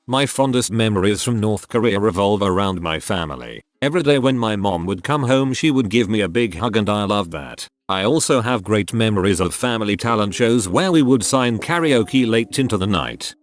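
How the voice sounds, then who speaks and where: Male narrator, low-pitched and animated, reading forum posts in a steady scripted way, faint music underneath. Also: moderate pace, hardly any pauses, no echo.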